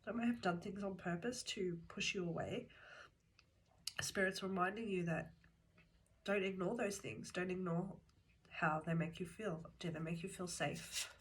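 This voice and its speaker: A woman's voice: on the low side, normal speed, low-quality recording. A woman giving a tarot reading, speaking earnestly and directly to the viewer while nursing a cold that makes her voice come and go. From Australia. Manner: calm, earnest, talks straight to the viewer. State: has a cold, voice cutting in and out. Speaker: tarot reader. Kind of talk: pick-a-card tarot reading. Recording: loud background noise. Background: shuffling cards and clicks.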